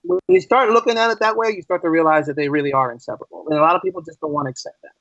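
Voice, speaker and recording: A man's voice: a little high, racing, a bit bright, no echo, lo-fi sound. A man from the American East Coast, a teacher talking as a podcast guest, explaining his ideas conversationally and often checking in with rhetorical questions. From United States, East Coast. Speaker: podcast guest, English as a second language teacher. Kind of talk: casual interview conversation.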